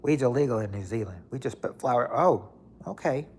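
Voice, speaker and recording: A man's voice: mid-range voice, expressive, brisk, slight background noise. A man in his late thirties chatting casually on a livestream, rambling and repeating himself with lots of fillers. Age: 30s. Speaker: livestream host. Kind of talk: casual chat reading viewer comments.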